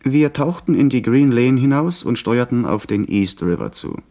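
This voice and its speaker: An actor playing a young gentleman who narrates an adventure tale in a radio play. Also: medium pitch, animated, normal speed, natural voice. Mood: tense storytelling. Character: young gentleman narrator recounting his abduction by pirates. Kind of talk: scripted dramatic narration, 1970s radio play.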